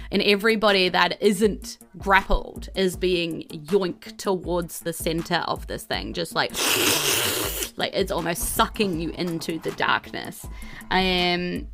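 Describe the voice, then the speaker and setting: A woman's voice: on the low side, a bit bright. A woman with a New Zealand accent, narrating a tabletop game as dungeon master in casual, improvised style.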